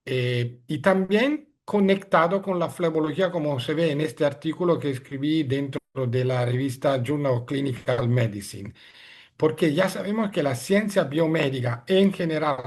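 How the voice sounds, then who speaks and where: Middle-aged guy, giving a talk on a video call, kinda high voice, stops to find words a lot. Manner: hesitant, searching for words in a second language. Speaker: guest speaker. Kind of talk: webinar lecture.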